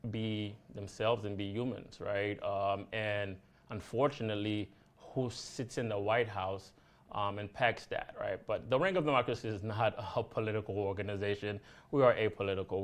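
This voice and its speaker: A Haitian-born man speaks earnestly as an activist guest on a talk show. His voice sits low, and he talks haltingly, with frequent fillers and false starts. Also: fairly even intonation. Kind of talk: casual interview chat.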